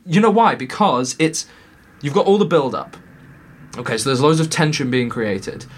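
A man hosting a relaxed film podcast. He talks on and on, leaning on fillers like "uh" and "kind of" as he builds his points. His voice sits at an ordinary middle pitch. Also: normal speed, slight background noise. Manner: talkative, frequent fillers and hedges. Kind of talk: casual film review chat.

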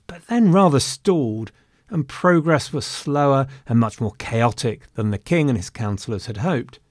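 A man talks through a stretch of history in a relaxed, conversational way, dropping in wry asides as he goes. His voice sits at a middle pitch. The recording is close and dry, with no room sound. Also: no room sound. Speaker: history podcast narrator. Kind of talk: informal history narration.

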